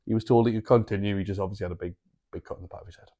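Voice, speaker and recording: A man's voice: on the low side, steady tone, very fast, mellow. A man co-hosting a podcast, telling stories with casual, sweary banter. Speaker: podcast co-host.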